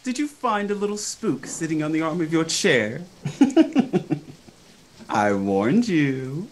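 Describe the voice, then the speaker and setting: An actor playing a sinister, gleeful horror show host, theatrical and teasing in 1940s radio style. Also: male voice, a little high, animated, takes it slowly, a little hiss or hum. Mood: ghoulishly teasing.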